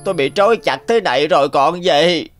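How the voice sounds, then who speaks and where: A man's voice: on the high side, expressive, brisk, a bit bright. A man voicing a sly greedy tanuki. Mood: pleading. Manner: cunning, whiny when caught. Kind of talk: cartoon voice acting. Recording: faint music behind.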